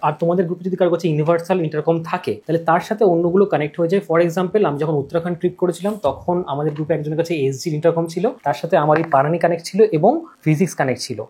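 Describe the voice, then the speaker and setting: A man hosting a motorbike gear vlog, chatting casually and asking quick follow-up questions. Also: fairly high pitch, fairly even intonation, a little mellow. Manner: rapid, chatty, asks short follow-up questions. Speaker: vlogger host.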